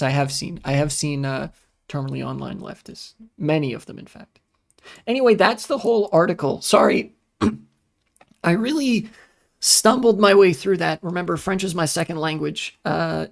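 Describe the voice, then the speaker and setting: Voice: mid-range voice, creaky. A streamer rants about politics in a loose, casual way. The delivery is sarcastic and full of swearing. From United States. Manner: ranting, profane, sarcastic.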